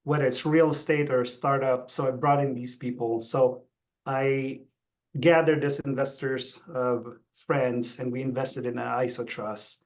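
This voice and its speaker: A man's voice: mid-range voice, steady tone, unhurried. A middle-aged man from the Philippines, a Tagalog speaker, talking conversationally as an interview guest. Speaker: interview guest, chief executive. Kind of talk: conversational personal account.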